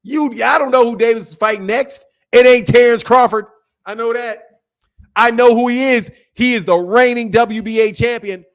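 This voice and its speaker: A man from the United States hosting a livestream, chatting casually with viewers in an emphatic, slangy way and repeating phrases for emphasis. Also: high voice. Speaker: livestream host of a boxing talk channel. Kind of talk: casual livestream chat, reading and answering viewer messages.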